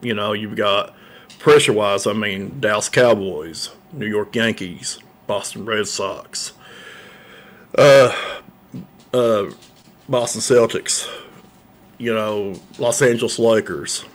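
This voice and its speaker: A man's voice: on the low side, slow pace, pauses often. A man, hosting a local sports talk show, rambling with lots of fillers and repeated words into a studio mic. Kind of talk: casual sports talk monologue. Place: small room set up as a coffee shop, indoors. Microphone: large studio mic on a boom arm.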